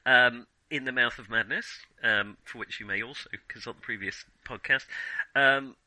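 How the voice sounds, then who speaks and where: A man's voice: medium pitch, moderate pace, sharp tone, dry recording, low-quality recording. A man hosting a podcast, chatting casually about films in a wry, self-deprecating, jokey way. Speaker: podcast host. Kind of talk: casual film discussion with playful scripted intro.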